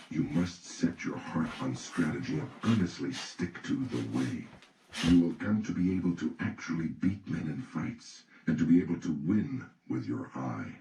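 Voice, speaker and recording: A man reading an audiobook from a script in a solemn, deliberate, instructional way. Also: very deep voice, mellow. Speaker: audiobook reader. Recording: roomy, distant mic, faint noise.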